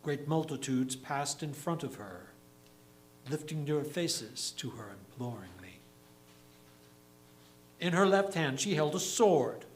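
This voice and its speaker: A man reading a theatrical verse monologue as a dead, defiant newspaper publisher, in solemn, measured phrases. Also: a little high, animated, slight background noise, low-quality recording. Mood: grave, haunted. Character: dead, defiant male newspaper publisher recounting a grim vision.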